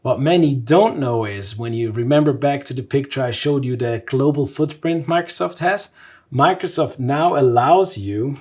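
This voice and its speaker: A man's voice: mid-range voice, moderate pace, a little mellow. A man in his thirties from Switzerland presenting a casual tech explainer, conversational and thinking aloud as he sketches. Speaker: vlog host and tech presenter. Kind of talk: casual whiteboard explainer to camera. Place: small home studio indoors.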